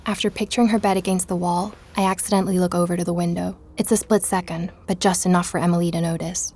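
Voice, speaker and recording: A woman's voice: on the low side, flat delivery, quick pace, darkish tone, husky. An actress playing an introspective young woman who narrates her own investigation in a scripted first-person audio drama. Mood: hushed wonder. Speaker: narrator and protagonist.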